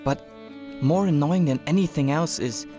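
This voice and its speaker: Easygoing young male trainer, anime dub woman performing: boyish, very low for a female voice, music beneath. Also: moderate pace, mellow, close to an everyday voice. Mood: playful. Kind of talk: anime-style manga dub acting. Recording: music under the voice. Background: background music.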